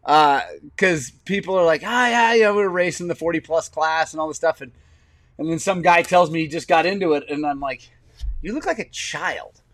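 Animated man in his forties. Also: fairly high pitch, normal speed, no echo. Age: 40s. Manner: animated storyteller, laughs often. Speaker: podcast host. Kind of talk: casual podcast chat.

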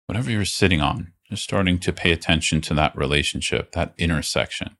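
A man leading a guided meditation as a teacher, speaking in an unhurried, reassuring way with phrases broken by pauses. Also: low-pitched, a bit bright. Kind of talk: guided meditation, gently instructive.

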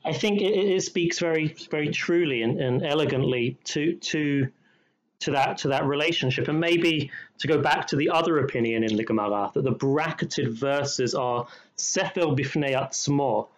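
A man, a rabbi co-hosting a podcast, speaking in a measured and thoughtful way, slowing down on key phrases. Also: on the high side, low-quality recording. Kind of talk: reflective conversational discussion.

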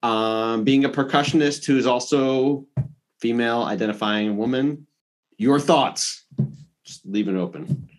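A man's voice: mid-range voice, a little slow. Easygoing man hosting an interview. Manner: relaxed, hesitant storytelling with restarts. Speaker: interviewer host. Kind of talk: casual interview.

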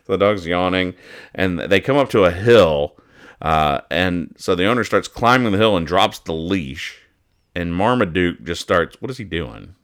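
A man hosting a casual, humorous show, giving halting, mock-earnest commentary as he goes. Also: deep voice, animated, fairly bright tone, clear, resonant tone. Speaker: host of a comics-reading show.

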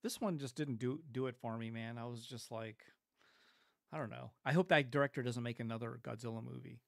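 A man's voice: medium pitch, fairly quick, vocal fry, no echo, clean studio sound. A man co-hosting a podcast, giving blunt, joking opinions on films in casual chat. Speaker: podcast co-host.

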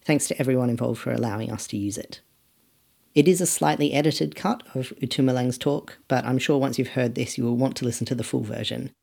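Male podcast host, raspy gravelly voice, calm friendly intro read. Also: medium pitch, brisk. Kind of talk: scripted introduction.

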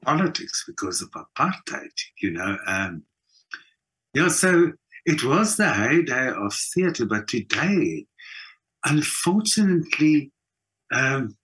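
A middle-aged South African man with a husky voice, talking reflectively in an interview, emphatic, with halting repeats and pauses. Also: mid-range voice, a bit bright, low-quality recording. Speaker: actor and singer being interviewed.

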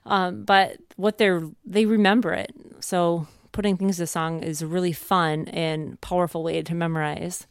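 A woman in her thirties with a smooth, clean voice at a medium pitch. She shares advice as a co-host in an earnest, conversational style, recorded with hardly any room echo. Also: steady tone, moderate pace, few pauses, studio quality. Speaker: co-host, homeschooling mother. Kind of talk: casual advice chat.

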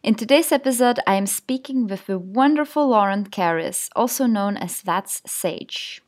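A woman's voice: medium pitch, expressive, moderate pace. A woman hosting a podcast, giving an upbeat, scripted-sounding introduction and asking questions. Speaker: podcast host.

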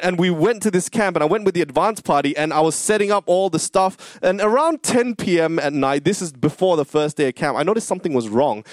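A man in his thirties preaching a sermon, telling a personal story in an animated, earnest, conversational way. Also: a little high, no echo, studio quality. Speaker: pastor.

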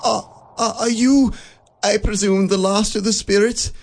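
An actor playing a frightened old miser who pleads with the ghosts in halting, anxious speech in a radio play. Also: male voice, sits high, steady tone. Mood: fearful. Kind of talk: 1940s-style radio drama acting.

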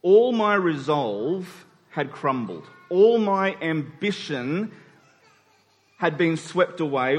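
A man in his forties with an Australian accent, preaching a sermon in a conversational, reflective storytelling way with pauses for emphasis. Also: on the high side. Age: 40s. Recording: long hall reverb.

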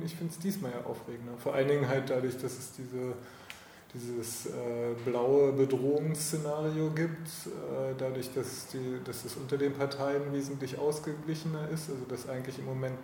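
A man's voice: medium pitch, steady tone, normal speed, mellow. A man in a casual political conversation as interviewer, thinking aloud in a rambling way. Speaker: podcast host and interviewer. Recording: roomy echo, clear background noise.

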